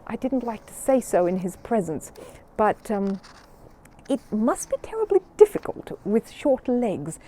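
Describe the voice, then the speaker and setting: A woman's voice: on the high side, big pitch swings, a little slow, a little hiss or hum. A woman voicing a kindly, nervous mother in a children's storybook. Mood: nervous, worried. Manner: polite, gently fretful.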